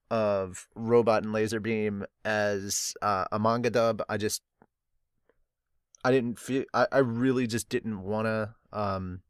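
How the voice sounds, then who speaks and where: A man hosting a podcast, casually reading and reacting to a manga, expressive with laughs and swearing. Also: lowish voice, smooth, no room sound, studio quality. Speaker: podcast host.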